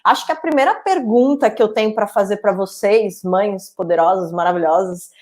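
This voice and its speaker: A woman's voice: medium pitch, normal speed. A woman hosting a webinar discussion, talking at length and circling back with restarts. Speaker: webinar host. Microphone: video call.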